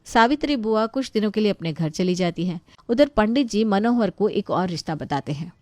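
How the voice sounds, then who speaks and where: A woman's voice: mid-range voice, lively intonation, normal speed, darkish tone, no room sound, studio quality. A woman narrating a scripted story as a storyteller.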